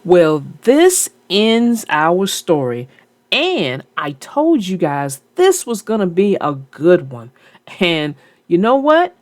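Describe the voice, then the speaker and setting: A woman reading a children's storybook aloud in a slow, expressive way, then chatting casually about it. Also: lowish voice, dry recording. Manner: slow, expressive read-aloud with character voices. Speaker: storybook reader and co-host.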